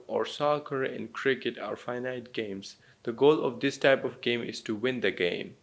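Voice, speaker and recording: Male online language teacher, Pakistani-American blended accent, medium pitch with vocal fry, plain encouraging explanations. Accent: Pakistani with some American influence. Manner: encouraging, simple step-by-step explaining. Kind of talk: instructional talk to viewers.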